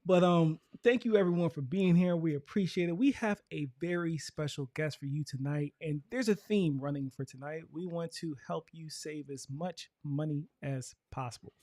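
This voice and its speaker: A man's voice: fairly high pitch, normal speed, few pauses, warm tone, dry recording. A man hosting a live show in a casual, colloquial, chatty way. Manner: informal, colloquial. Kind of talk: casual livestream chat.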